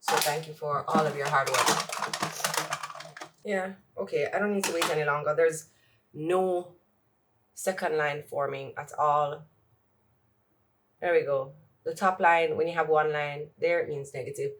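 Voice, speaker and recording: A woman's voice: deep voice, fairly even intonation, measured pace, long pauses, fairly bright tone. Sniffly woman vlogging from afar. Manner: rambling, joking, self-talk asides. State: sniffly, congested nose. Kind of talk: casual chatty vlog while doing makeup. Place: home closet. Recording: voice from far off the mic.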